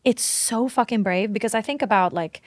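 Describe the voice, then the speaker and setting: A woman's voice: mid-range voice, moderate pace, dry recording. Swedish-born woman in candid conversation, dry sound. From Sweden. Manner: informal, filler "like" very frequent. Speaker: podcast guest, singer-songwriter.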